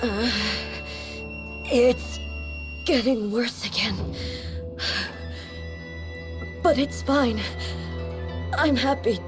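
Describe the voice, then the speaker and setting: A slow, solemn warrior goddess. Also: female voice, fairly high pitch, music in the background. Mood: strained, resolute. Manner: formal, measured, grave. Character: solemn, resolute, regal immortal warrior woman. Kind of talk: dramatic video game voice acting.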